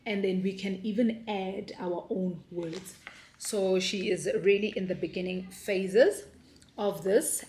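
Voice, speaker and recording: A woman in her thirties with a South African accent, talking through her homeschool plans in a relaxed, casual way. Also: medium pitch, echoey room, slight background noise. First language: not Afrikaans. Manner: relaxed, many ums and restarts. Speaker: homeschooling mom and vlogger. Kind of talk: casual explainer vlog.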